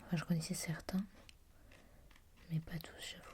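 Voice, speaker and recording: A woman whispering as she reads aloud from a language reference book, softly musing and adding her own comments. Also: low-pitched, little pitch movement. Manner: whispering throughout; soft and musing, pausing to comment. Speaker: reader.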